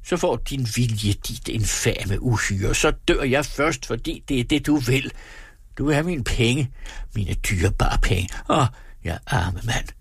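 A man voicing a miserly, pompous, quick-tempered husband in a storybook reading, with theatrical, self-pitying complaints. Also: mid-range voice, expressive, slightly rough, no echo, clean studio sound. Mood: angry, self-pitying. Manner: theatrical, exclamatory, grandiose complaining.

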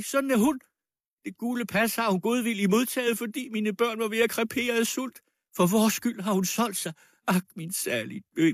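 A man voicing a frantic, anguished sick widow in a storybook reading, hoarse and tearful. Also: sits high, no echo, clean studio sound, pitched above the performer's own voice. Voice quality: hoarse, plaintive. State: crying.

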